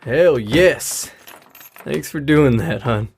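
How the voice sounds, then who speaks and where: An actor playing David, a gentle, grieving middle-aged father who calls his daughter hon. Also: medium pitch, expressive, takes it slowly, vocal fry, a little hiss or hum. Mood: tender.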